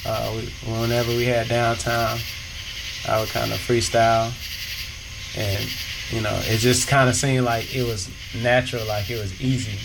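A man, a rapper from South Carolina talking casually in an interview, relaxed and rambling with many fillers. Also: medium pitch, normal speed, vocal fry. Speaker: rapper and songwriter. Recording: loud background noise.

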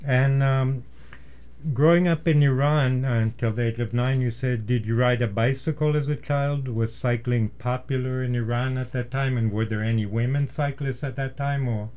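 A man hosting a radio show from a studio, introducing and questioning guests in a formal, earnest, measured way. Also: medium pitch, fairly even intonation, phone-quality sound. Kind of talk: measured scripted introduction and interviewing. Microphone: studio microphone.

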